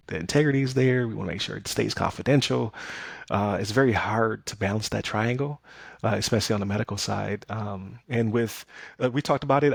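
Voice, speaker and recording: A man's voice: on the low side, vocal fry, husky, dry recording, studio quality. A man hosting a casual podcast, talking things through loosely with plenty of fillers and restarts. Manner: conversational, many fillers and restarts. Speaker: podcast host.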